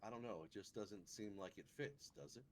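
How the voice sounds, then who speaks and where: The mic is noisy and clicky. A skeptic in a fantasy gathering voiced by a man talks in a very deep register. He is tentative, in improvised roleplay. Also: a little fast, close to an everyday voice. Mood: skeptical. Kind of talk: live improvised online roleplay.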